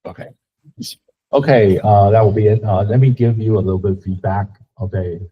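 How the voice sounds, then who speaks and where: A husky, lower-voiced man gives a student feedback in a classroom. He speaks casually and sometimes searches for words. The recording is dull and lo-fi. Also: fairly quick. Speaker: instructor giving feedback.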